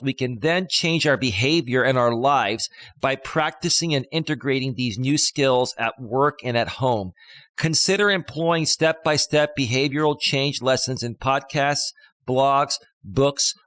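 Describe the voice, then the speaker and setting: A man with a deep bass voice, a life coach hosting a casual live stream, reading passages aloud and riffing on them playfully, close on a studio mic. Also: fairly bright tone, dry recording. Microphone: studio dynamic microphone.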